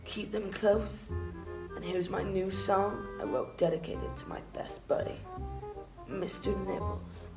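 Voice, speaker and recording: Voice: mid-range voice, fairly even intonation, measured pace, natural voice. A young adult performer playing a sappy, devoted songwriter in a comedy audio sketch, earnest and overwrought. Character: sappy, devoted songwriter besotted with zombie best friend. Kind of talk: comedy audio sketch, slow speech and crooning. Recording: echoey room, music over it.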